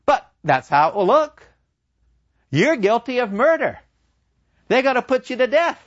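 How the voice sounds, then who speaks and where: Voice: mid-range voice, sing-song, measured pace, no echo, pitched above the performer's own voice. A storyteller voicing a scheming devil, taunting and sardonic, in a dull, dry lo-fi recording. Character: mocking scheming devil, gleeful.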